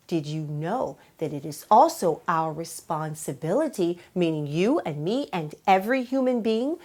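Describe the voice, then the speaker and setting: A woman hosting a children's read-aloud, explaining in a teacherly way and answering her own questions. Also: on the low side. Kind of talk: animated children's storytime presenting.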